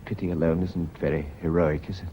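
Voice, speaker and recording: An actor playing an earnest British schoolmaster and lover, persuasive and reassuring with a nervy edge, in a radio drama. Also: male voice, deep voice, little pitch movement, a little fast, dark tone, close to an everyday voice. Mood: tender, teasing. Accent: British English. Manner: persuasive, reassuring, by turns tender and exasperated. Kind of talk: British stage-style radio drama acting. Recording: dull, hissy old radio recording.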